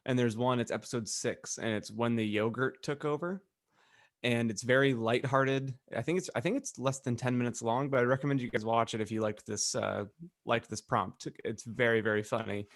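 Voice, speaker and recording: Male podcast co-host, mid-pitched, casual joking chat, dry and echo-free sound. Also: fairly even intonation, normal speed.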